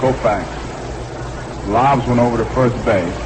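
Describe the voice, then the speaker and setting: A man's voice: mid-range voice, unhurried. Man calling baseball on noisy vintage radio. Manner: short clipped phrases, repeats the count. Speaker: baseball play-by-play announcer. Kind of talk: 1960s live baseball radio commentary. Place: ballpark broadcast booth.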